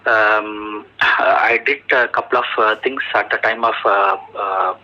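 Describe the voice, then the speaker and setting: A man with an Indian accent answering technical questions as a job candidate over the telephone, halting often and searching for words. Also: lowish voice, fairly even intonation, bright, forceful tone. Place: telephone call. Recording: muffled, dull telephone interview recording.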